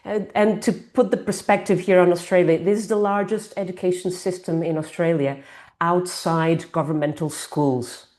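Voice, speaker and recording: A woman hosting an online webinar, giving a formal, slightly halting introduction of the speaker over a video call. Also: lowish voice, fairly even intonation, a little mellow. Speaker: webinar host.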